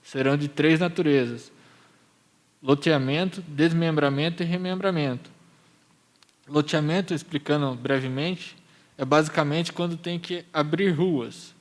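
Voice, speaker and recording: Brazilian man presenting, higher-pitched voice. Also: a little slow. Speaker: municipal planning presenter. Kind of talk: explanatory public hearing presentation.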